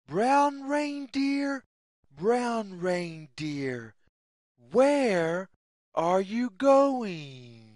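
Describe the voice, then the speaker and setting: Lady reading a kids story super slowly, really swingy pitch, little chunks at a time. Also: very animated, a little mellow, no echo, studio quality. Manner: slow, deliberate, sing-song with short separated phrases. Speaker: children's story reader. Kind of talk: read-aloud picture book narration for young children.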